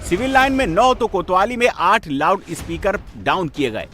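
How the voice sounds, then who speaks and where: A man's voice: high voice, very animated, normal speed, bright, forceful tone, faint music behind. A man reading a dramatic scripted TV news voice-over in a punchy, emphatic way. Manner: punchy, emphatic, repetitive phrasing. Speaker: news reporter narrator.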